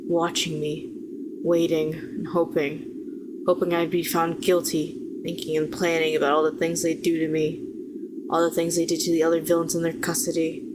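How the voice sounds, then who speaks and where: Woman acting bitter, low-voiced telepath villainess. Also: background noise, close to an everyday voice. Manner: deliberate, sardonic, rhetorical questioning, condescending endearments. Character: weary, bitter female telepath villain, fallen hero. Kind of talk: dramatic audio roleplay addressed to the listener.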